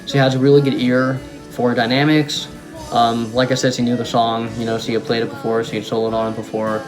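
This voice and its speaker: A young man of middle pitch talks about his song in a rambling, eager way, full of ums and restarts. His first language is German. Clear guitar music plays behind his voice. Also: level tone, brisk, rough recording. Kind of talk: casual talk to camera.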